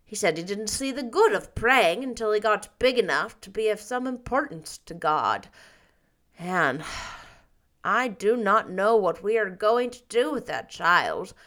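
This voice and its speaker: A woman voicing a stern, practical older guardian who speaks in a dry, measured way. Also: medium pitch, lively intonation, fairly bright tone. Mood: grim. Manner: dry, measured, a little grim.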